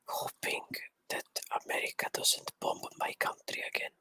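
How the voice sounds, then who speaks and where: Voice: normal speed, sharp tone. A guest from Serbia speaking on a stream in short, terse whispers.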